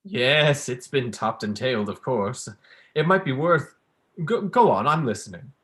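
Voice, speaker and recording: A young performer playing a pedantic male academic in a staged play reading, halting, smugly polite and prone to interrupt himself. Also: mid-range voice, expressive, fairly bright tone. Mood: smug, distracted. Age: young adult. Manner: halting, donnish, breaking off with apologies.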